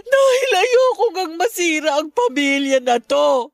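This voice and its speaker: A woman's voice: high voice, sing-song, measured pace, no echo, studio quality, heavily acted. A woman with a Filipino accent playing an abandoned, heartbroken mother in a radio drama, clinging and pleading with emotion. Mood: desperate, pleading.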